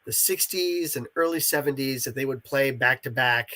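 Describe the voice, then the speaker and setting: A man's voice: mid-range voice, moderate pace, sharp tone. A middle-aged man chatting casually and reminiscing as an interview guest. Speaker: interview guest, audio drama creator.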